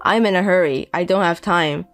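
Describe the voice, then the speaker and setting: A woman voicing a 57-year-old indebted deadbeat father who pleads and pities himself, speaking slowly. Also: on the low side, a bit bright, no echo, faint music behind. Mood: pleading. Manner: pleading, self-pitying, slow.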